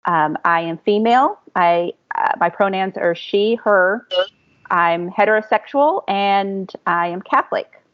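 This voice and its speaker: Woman in her early forties, from Texas, casual self-introduction, mid-pitched voice, lo-fi recording. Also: a little slow. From Fort Worth area, Texas, United States. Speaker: student podcast participant. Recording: slightly muffled lo-fi audio.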